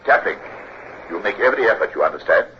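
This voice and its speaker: An actor playing a brisk, authoritative older British navy captain with an English accent in an old-time radio drama. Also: male voice, low-pitched, expressive. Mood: brisk, commanding. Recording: dull, noisy old radio recording.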